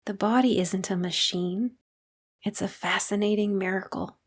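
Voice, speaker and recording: Calm woman guiding a meditation. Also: mid-range voice. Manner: calm, unhurried, soothing delivery with long pauses. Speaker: guided meditation teacher. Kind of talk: guided meditation narration.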